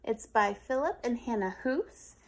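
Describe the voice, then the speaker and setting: A woman in her thirties reading a picture book aloud to young children in an expressive, sing-song manner. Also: a little high, a little slow, noisy background. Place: living room on a couch, indoors.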